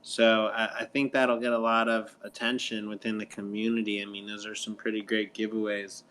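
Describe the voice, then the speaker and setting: A man's voice: lowish voice, flat delivery, moderate pace, fairly bright tone, a little hiss or hum, low-quality recording. A man hosting a casual interview, responding with easy approval and putting questions to a guest. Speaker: interviewer, radio show host.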